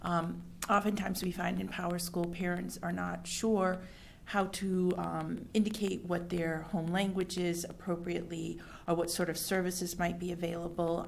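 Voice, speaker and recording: A woman's voice: on the low side, vocal fry, a little mellow, slight background noise. A woman school administrator presenting at a meeting, enthusiastic and talking with many fillers.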